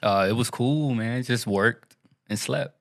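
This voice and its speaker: A man from Chicago, a father, chatting on a podcast in a relaxed, slangy way. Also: mid-range voice, unhurried, creaky. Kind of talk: casual chat.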